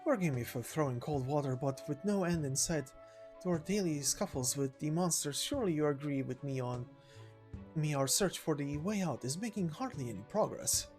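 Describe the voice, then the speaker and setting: A woman's voice: low voice, expressive, moderate pace, darkish tone, faint music behind. A woman voicing a courteous, doubtful companion in a game story, speaking politely and formally in wordy phrases.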